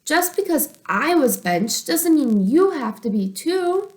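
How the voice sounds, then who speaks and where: A woman's voice: high-pitched, big pitch swings, slow pace, voice pushed up, full character voice. A woman reading the part of an earnest, imaginative schoolboy in a children's story, caring and easily exasperated, with a dramatic manner. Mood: excited.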